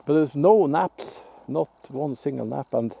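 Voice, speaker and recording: A Norwegian man speaking English with a Norwegian accent, chatting in a relaxed, halting way while fishing alone by a lake, picked up by a camera on the shore. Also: on the high side, creaky, slightly rough. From Norway. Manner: relaxed, musing, halting with restarts. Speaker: outdoor vlogger. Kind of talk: casual self-filmed commentary. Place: lakeside outdoors, off camera.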